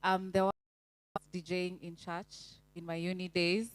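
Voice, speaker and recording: A woman with a somewhat low voice introduces herself on a panel, sounding relaxed and easy. The sound is dry and close. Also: takes it slowly, no echo, rough recording. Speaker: head of recruitment.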